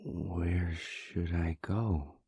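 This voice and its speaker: A man's voice: low voice, steady tone, very slow, dark tone, husky, full character voice. A man playing a plain-spoken, uncertain man in an audio roleplay, giving short, tentative replies. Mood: hesitant. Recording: reverberant, echoey sound.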